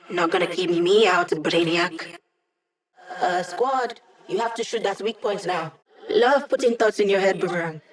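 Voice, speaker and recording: A woman playing a wry, playful young hacker in a video game, with a casual, quippy way of talking. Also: fairly high pitch. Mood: playful, teasing. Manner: breezy, casual, teasing, quippy. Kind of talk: scripted game character voice lines.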